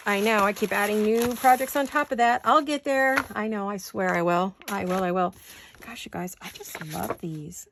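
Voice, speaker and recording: A woman with a fairly high voice chats her way through a craft project as a vlog host. She rambles and thinks out loud, dropping in folksy, playful exclamations. Faint noise and small clicks sit behind her in a home studio recording. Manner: chatty, rambling, thinking aloud. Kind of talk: casual craft-with-me chat.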